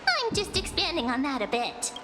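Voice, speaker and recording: A tiny, eager fairy girl from a video game, with an extremely high voice. She sounds bubbly, girlish and sincere. Her words ring in a long reverb over clearly audible music. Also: very animated, normal speed. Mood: earnest. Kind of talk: English video game voice acting.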